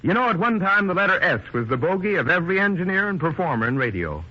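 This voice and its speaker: A man announcing on 1930s radio, with a fairly high voice that swings in lively fashion. He addresses listeners formally, like a showman. The old recording is dull and lo-fi. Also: quick pace. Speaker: radio presenter.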